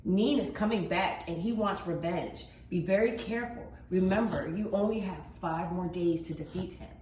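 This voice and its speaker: A woman's voice: fairly low pitch, normal speed, a little mellow, recorded from a distance, natural voice. A woman voicing a solemn, proud magical warrior in a storybook, making emphatic declarations. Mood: solemn warning. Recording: roomy echo, faint background noise.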